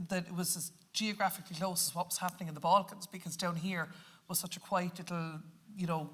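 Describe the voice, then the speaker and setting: A woman's voice: on the low side, a bit bright. An Irish woman lecturer on a panel, talking in a chatty, rambling way. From Ireland. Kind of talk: panel discussion.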